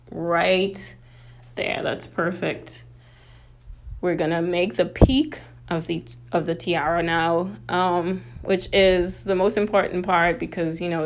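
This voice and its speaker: Casual Bahamian woman giving craft instructions. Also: lowish voice. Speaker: craft tutorial host. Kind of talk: casual how-to demonstration.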